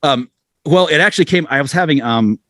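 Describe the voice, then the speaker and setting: A man's voice: fairly high pitch, expressive, fairly quick, fairly bright tone, no room sound, studio quality. A middle-aged American man talking casually as a musician being interviewed, rambling along in a self-deprecating way. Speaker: musician guest, band frontman.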